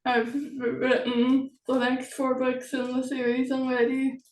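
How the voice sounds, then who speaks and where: A slow-spoken woman with a fairly high voice talks casually about writing her book series. She hesitates often and laughs lightly between phrases. Her voice comes from a distance, in an echoey room. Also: monotone. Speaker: author and interview host. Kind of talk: casual interview chat. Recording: roomy, distant mic.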